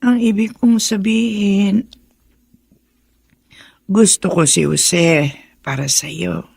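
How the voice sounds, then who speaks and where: An actress playing a sickly, affectionate elderly mother in a Filipino radio drama, with a creaky, husky voice. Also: mid-range voice, fairly even intonation, darkish tone, no echo, heavily acted. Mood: fond, advising. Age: older. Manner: slow, tender, motherly.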